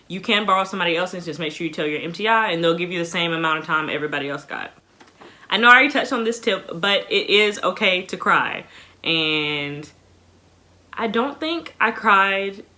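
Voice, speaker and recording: A woman's voice: lowish voice, bright, forceful tone. A woman in her twenties with an American accent, giving casual advice to viewers in an informal, emphatic, conversational way. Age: 20s. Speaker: vlogger giving basic training tips.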